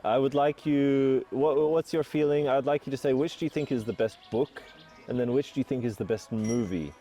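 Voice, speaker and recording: Mellow-voiced male podcast host. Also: mid-range voice, brisk, faint music behind. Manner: easygoing, affirming questions. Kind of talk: casual interview.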